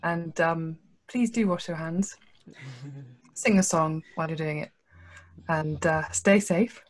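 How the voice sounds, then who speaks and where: Woman, very low voice, podcast guest writer, informal sign-off. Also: takes it slowly. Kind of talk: casual thanks and sign-off. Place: indoors, on a video call.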